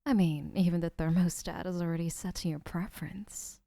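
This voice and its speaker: A young woman voicing an adoring, obsessive female kidnapper who gushes softly and often whispers. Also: on the low side, fairly even intonation, fairly quick, gravelly, no room sound. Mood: gushing. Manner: soft, gushing, often whispering. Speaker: voice actor. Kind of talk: audio roleplay.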